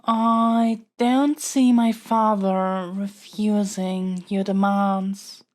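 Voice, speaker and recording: Young princess, acted by a woman, very slow delivery, formal and stately, haughty yet smitten, audio drama roleplay. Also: medium pitch, darkish tone. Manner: formal, stately, pleading diplomacy. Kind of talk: scripted audio roleplay acting.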